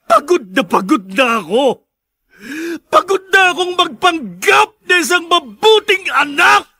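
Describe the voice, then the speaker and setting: Radio drama husband: Filipino, bitter and irritable public servant, very high, sharp-edged delivery, emotional. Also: male voice, big pitch swings, unhurried, no echo, studio quality, exaggerated voice. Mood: anguished. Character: bitter, weary, hard-drinking married man, a public servant.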